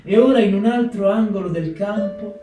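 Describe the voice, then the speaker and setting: Man acting compassionate wartime letter writer, high male voice, expressive staged reading, plucked guitar behind, echoey hall. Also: normal speed, close to an everyday voice. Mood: tender, wry. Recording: echoey hall, distant mic, loud guitar. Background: live plucked guitar music.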